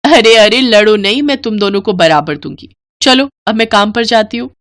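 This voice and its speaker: A woman's voice: medium pitch, normal speed, background noise, lightly acted. A woman voicing a poor, loving village mother in a moral story, earnest and plaintive. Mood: affectionate. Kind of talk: Hindi moral story voice acting.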